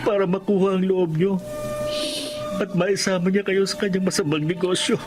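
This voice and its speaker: A man's voice: sits high, flat delivery, moderate pace, lightly acted. A man acting a remorseful, worried young son in an emotional, pleading Tagalog radio drama style. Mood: earnest. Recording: music bed behind the voice.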